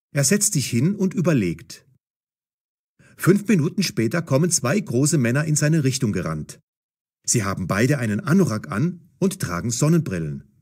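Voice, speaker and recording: A man narrates a story for language learners. His mid-pitched voice is a little breathy and husky, with a lively, dramatic melody over short sentences.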